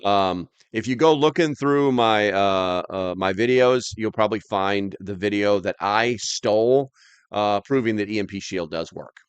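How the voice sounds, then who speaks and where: Low-voiced man with a clear voice. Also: unhurried, no echo. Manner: rambling, plain-spoken, mildly grumbly. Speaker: host of a live stream. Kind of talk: casual chat, reading and answering live comments.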